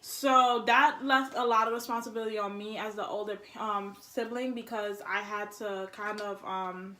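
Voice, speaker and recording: A woman's voice: medium pitch, steady tone, moderate pace, pushed and bright, a little hiss or hum. A woman, chatting candidly and informally as a vlog host. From born in Haiti, immigrated to the United States at four. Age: early 20s. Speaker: vlog host, college student. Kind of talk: casual personal chat. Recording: echoey room.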